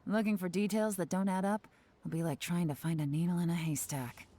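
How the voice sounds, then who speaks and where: A woman voicing a shrewd, composed female investigator in a video game, measured and businesslike. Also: on the low side, steady tone, mellow, lightly acted. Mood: composed, assured.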